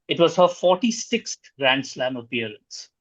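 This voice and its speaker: A man's voice: mid-range voice, sing-song, a little slow, dry recording. A man acting as quiz master, reading out questions and scoring answers over a dull-sounding online call.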